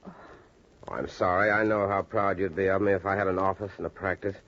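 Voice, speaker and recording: An actor playing an idealistic, intense young doctor and devoted son, earnest and emphatic, in 1940s radio drama style. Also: male voice, deep voice, steady tone, fairly quick, a bit bright. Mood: impassioned. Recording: muffled 1940s radio recording.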